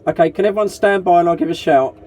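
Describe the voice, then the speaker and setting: A man's voice: high-pitched, fast talker. A man chatting casually over CB radio as a group net operator, matey and polite, using call signs and radio jargon. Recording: noisy CB radio transmission with hiss.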